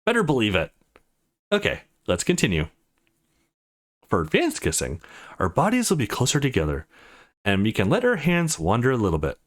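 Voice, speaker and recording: Man reading as an animated, playfully bossy young guy. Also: medium pitch, moderate pace, long pauses. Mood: mock-stern. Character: easygoing young man playing bossy teacher in visual novel.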